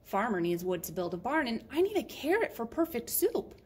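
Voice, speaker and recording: A woman voicing a small, anxious, hurried mouse who pleads earnestly and in singsong in a children's picture book. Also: a little high, very animated, a little mellow, breathy edge, voice pushed up. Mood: pleading, insistent.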